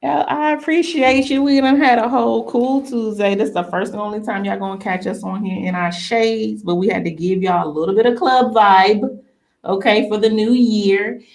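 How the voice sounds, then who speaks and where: A woman with a medium-pitched voice hosts a casual talk show. She chats in a playful, slangy way and keeps the tone informal and bawdy. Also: moderate pace, gravelly, a little mellow. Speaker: radio talk show host.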